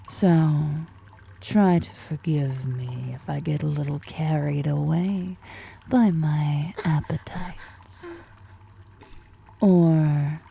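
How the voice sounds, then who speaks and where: Woman doing sultry drider lady for asmr rp, deep creaky dark voice, breathy, teasing and hungry. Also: full character voice. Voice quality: breathy, husky whisper-edged. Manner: slow, sultry, toying, drawn-out murmurs. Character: seductive, predatory female drider lady, powerful and teasing. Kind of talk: ASMR roleplay acting.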